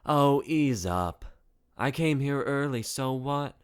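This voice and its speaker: A man's voice: medium pitch, lively intonation, slow pace, smooth, pitched above the performer's own voice. A man voicing a mischievous alien shapeshifter woman in a story, with a brash, teasing, playful delivery.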